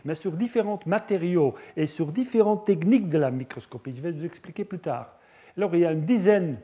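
A middle-aged man with a Flemish accent gives a scientific talk. His voice sits a bit high yet sounds mellow and soft-edged. He speaks haltingly as a non-native speaker, pausing on fillers and restarting his phrases. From Belgium (Flanders). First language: Dutch (Flemish). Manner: hesitant, many fillers and restarts. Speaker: lecturer. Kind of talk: scientific lecture with slides.

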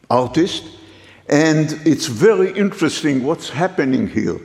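A man from the Middle East giving a guest lecture, hesitant and halting, with many fillers and restarts. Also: a little high, darkish tone. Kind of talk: academic lecture with slides. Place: lecture hall. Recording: reverberant lecture hall.

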